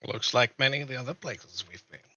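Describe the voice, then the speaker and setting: A man's voice: mid-range voice, quick pace, bright, forceful tone, dry recording, muffled recording. A man making casual banter on a game stream, with music underneath.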